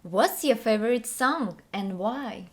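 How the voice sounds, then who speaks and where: A woman's voice: fairly high pitch, animated, slow pace. A woman with a Russian accent, a native Russian speaker, co-hosting an English lesson in simple, careful question-and-answer conversation with some hesitant phrasing.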